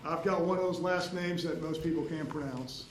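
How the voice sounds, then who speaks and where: Male prosecutor, native Spanish speaker, slightly high voice, rambling friendly questions, echoey courtroom. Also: moderate pace. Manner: casual, rambling, self-deprecating questioning. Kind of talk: courtroom redirect examination. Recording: echoey courtroom, faint hiss.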